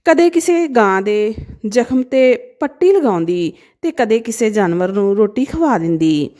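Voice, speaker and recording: A woman narrating a scripted emotional moral story as a storyteller, with an expressive, dramatic delivery. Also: fairly high pitch, measured pace.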